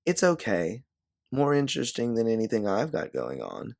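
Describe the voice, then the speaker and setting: A man voicing a gentle, inquisitive young woman who offers shelter in an audio story. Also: fairly low pitch, huge pitch swings, normal speed, vocal fry, voice pushed up, exaggerated voice. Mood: curious.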